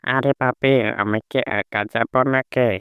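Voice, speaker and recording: Smooth-voiced chatty crow, acted by a man. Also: mid-range voice, steady tone, unhurried, no echo, phone-quality sound, natural voice. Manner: colloquial, exclaiming, muttering to himself. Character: chatty, gullible male crow, easily bored and grumbling. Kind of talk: cartoon character dialogue.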